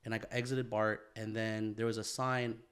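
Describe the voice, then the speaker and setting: A man's voice: on the low side, level tone, moderate pace, fairly bright tone, clear, resonant tone. A man co-hosting a casual podcast chat, rambling with lots of fillers and restarts, speeding up when he gets going. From San Francisco Bay Area. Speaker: podcast co-host, photographer.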